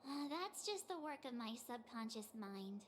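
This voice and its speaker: A woman's voice: on the high side, very animated, a little slow, slight background noise. A woman voicing a perky, candid teenage girl adventurer in an anime-style game, chatty and a little hesitant. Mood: sheepish.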